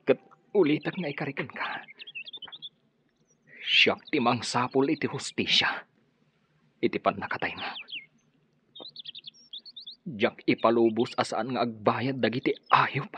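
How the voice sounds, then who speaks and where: An actor with a Filipino accent playing an earnest, grieving son bent on revenge in a radio drama. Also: male voice, medium pitch, measured pace, long pauses, pushed and bright, slight background noise. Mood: grieving, vowing. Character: vengeful grieving son hunting his father's killers.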